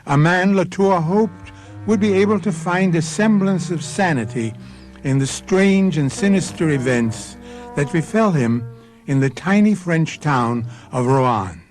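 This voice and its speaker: A man hosting and narrating a radio mystery drama in a measured, ominous manner. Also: a little high, expressive, a little mellow, faint music behind. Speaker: host and narrator.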